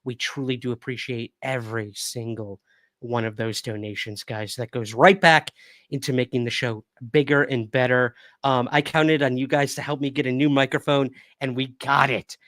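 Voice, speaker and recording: A man with a calming, middle-pitched voice hosts a livestream, chatting upbeat and easy with his viewers. He speaks close to a studio microphone in a dry room with no echo. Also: normal speed. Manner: upbeat, thanks viewers and reads chat aloud. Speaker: livestream podcast host. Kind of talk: casual livestream chat with viewers. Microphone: new studio microphone.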